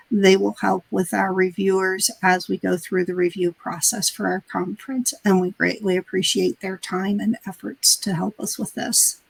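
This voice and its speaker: A woman program coordinator introducing the team and open calls in a webinar, speaking in a plain, steady way. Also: medium pitch, gravelly, breathy edge, no echo, poor audio. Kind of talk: webinar presentation.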